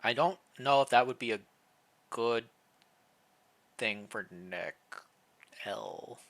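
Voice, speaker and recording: Bright-voiced man hosting a livestream. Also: mid-range voice, takes it slowly. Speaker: streamer, host reading fan fiction. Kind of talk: casual livestream chat with dramatic read-aloud narration.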